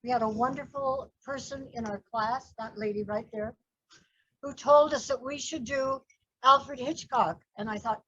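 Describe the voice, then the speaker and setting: An older woman with a soft, husky voice that sits a little high. She talks in a loose, chatty way and pokes fun at herself. The recording is muffled and lo-fi. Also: normal speed, dry recording. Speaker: film class teacher. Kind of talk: casual class introduction.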